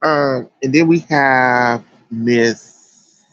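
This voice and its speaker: A man's voice: mid-range voice, very slow, fairly bright tone, smooth, dry recording, dull audio. An American man giving casual, chatty sports commentary as a livestream host, emphatic and slangy.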